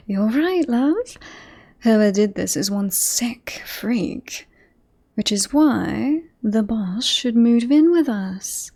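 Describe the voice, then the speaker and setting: A woman playing a devoted bodyguard in an ASMR roleplay, politely reassuring and professional on the surface, with an obsessive streak slipping into hushed intimacy. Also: on the high side, lively intonation, drawn-out words, exaggerated voice. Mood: coaxing, smitten. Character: devoted yandere bodyguard woman, composed front over creepy obsession.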